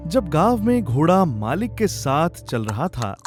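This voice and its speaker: A man narrating a children's story in an expressive storytelling style. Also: a little high, a little slow, soft background music.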